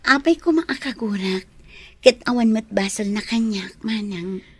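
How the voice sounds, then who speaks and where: Breathy-husky, fairly high actress as a forthright young madam, radio play with music behind. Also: female voice, measured pace. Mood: puzzled. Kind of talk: Ilocano radio drama acting.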